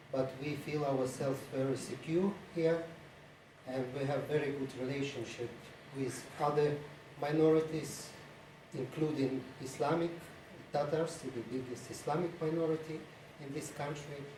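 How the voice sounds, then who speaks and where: A man who is not a native English speaker gives a formal conference speech in a halting, hesitant way. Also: a little high, steady tone. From Ukraine-born, lived mostly in Estonia. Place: indoor conference room. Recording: echoey room, distant mic, faint noise.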